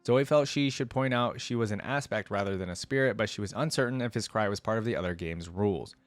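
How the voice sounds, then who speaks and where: A man hosting a reaction video, reading a story aloud and thinking out loud in rambling, casual commentary. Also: on the low side, fast talker, no room sound, soft background music.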